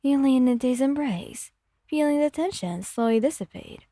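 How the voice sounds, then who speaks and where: A woman's voice: high-pitched, expressive, moderate pace, dark tone, no room sound. A woman narrating scripted fan fiction in an ASMR storytelling style, close to the microphone.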